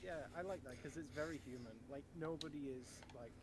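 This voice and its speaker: A man's voice: a little high, fairly quick, over a noisy crowd. A man chatting casually on a voice call, agreeable and loose in his phrasing.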